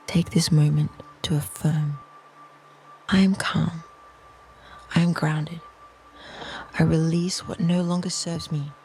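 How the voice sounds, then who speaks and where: This is a guided meditation narrator with a creaky, fry-laden voice. The delivery is slow, soft and soothing, close to a whisper, with little melodic movement. A faint ambient noise sits behind the speech. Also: medium pitch, flat delivery.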